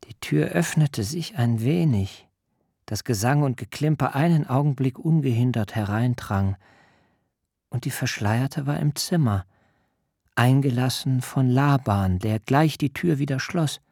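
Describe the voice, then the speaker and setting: Jakob, slow and hushed. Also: male voice, medium pitch, expressive, gravelly, breathy edge, no echo, studio quality, heavily acted. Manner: measured, hushed and intimate. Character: Jakob, young bridegroom recalling his wedding night. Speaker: actor in a radio play. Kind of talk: literary radio drama, first-person narration.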